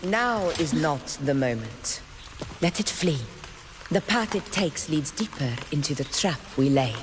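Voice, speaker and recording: A woman's voice: low-pitched, unhurried. An actress playing a bold, commanding woman warrior in a video game, speaking in grand, confident declarations. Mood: measured. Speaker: game character, military commander. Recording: game audio with steady noise behind.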